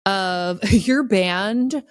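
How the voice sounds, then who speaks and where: A woman chats casually as a podcast co-host, wry and conversational. Her pitch sits in an average range, and the sound is very dry and close. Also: extremely slow, clean tone, dry recording. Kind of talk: casual comedic podcast chat.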